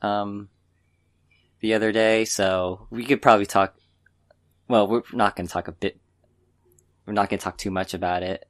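A man's voice: lowish voice, fairly even intonation, moderate pace, pauses often. A man co-hosting a podcast, talking casually and hesitantly as he gives informal opinions in a meandering way. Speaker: podcast co-host. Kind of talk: casual chat, informal film review.

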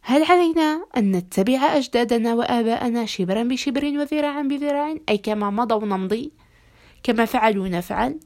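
A woman hosting a podcast, addressing listeners in formal Arabic with a measured, rhetorical delivery and a slightly hoarse voice. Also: on the high side, a little mellow. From Algeria. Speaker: podcast host.

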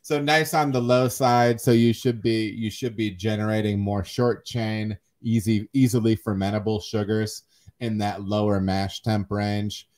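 Middle-aged male podcast host, lower voice, loose chatty delivery, restarts a lot. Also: flat delivery, a little slow, a little mellow. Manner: chatty, repeats words while thinking aloud. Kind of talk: casual chat.

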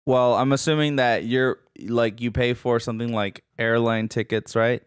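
Smooth-voiced wry man in his twenties. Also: mid-range voice, fairly even intonation, moderate pace, dry recording, clean studio sound. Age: 20s. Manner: wry, teasing interjections. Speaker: podcast co-host. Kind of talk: casual chat.

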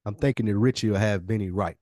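A man's voice: fairly low pitch, fast, warm tone, no room sound, studio quality. A man co-hosting a podcast, talking informally as he thinks aloud. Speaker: podcast co-host. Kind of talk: casual chat.

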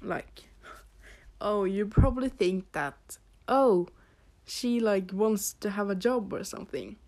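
A young woman from Norway with a Norwegian accent, hosting a casual podcast, rambling in a halting way with fillers and soft laughs. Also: mid-range voice, expressive. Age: teens or young adult. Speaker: podcast host.

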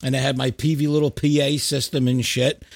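A man chatting casually as a musician guest on a podcast, telling things in an animated, sweary, informal way. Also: medium pitch, a little fast, vocal fry, no room sound, clean studio sound. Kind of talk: casual chat.

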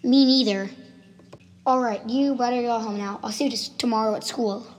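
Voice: mid-range voice, fairly even intonation, normal speed, close to an everyday voice. A performer playing an earnest, insistent schoolboy in an amateur radio drama. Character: Timmy, earnest schoolboy who insists on what he saw. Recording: home recording with clear noise and clicks.

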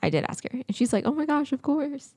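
A young woman co-hosting a podcast, chatting casually and telling stories in an earnest, animated way. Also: high-pitched, fast, no room sound, clean studio sound. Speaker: podcast co-host.

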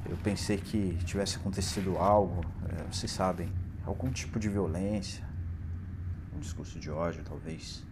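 An actor playing a distraught, nervous family man in an audio drama, pleading and stammering in a halting way. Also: sits low, fairly even intonation, lightly acted. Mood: uneasy relief. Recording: clearly noisy ambience under voice.

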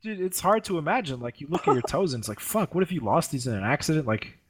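A man's voice: on the high side, lively intonation, fast talker, a loud crowd. A man in his twenties chatting casually with friends, insistent and swearing freely. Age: 20s. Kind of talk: casual banter among friends.

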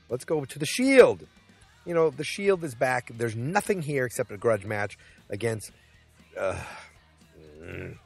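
A man hosting his own podcast, rambling through picks with jokes, asides and lots of filler words. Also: sits low. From New England. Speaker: podcast host. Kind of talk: casual solo wrestling podcast. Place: Boston.

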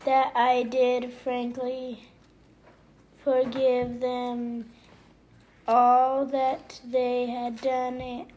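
A child reading scripture aloud haltingly, pausing mid-phrase and repeating words. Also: mid-range voice, monotone, a little mellow. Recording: noisy home recording.

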